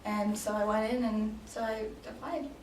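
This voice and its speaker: A woman's voice: mid-range voice, steady tone, moderate pace, darkish tone. Woman, late twenties, from Denmark, hesitant, lots of ums, faint background noise, echoey. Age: 20s. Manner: hesitant, many ums and restarts. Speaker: job applicant in a mock interview. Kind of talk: casual improvised role-play interview. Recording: echoey room, mic at a distance, faint noise.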